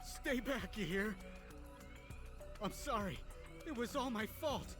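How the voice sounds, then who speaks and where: A woman's voice: on the high side, animated, takes it slowly, over music. A woman voicing a desperate, frantic attacker who begs for mercy in a game drama. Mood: terrified, pleading. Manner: frantic, pleading.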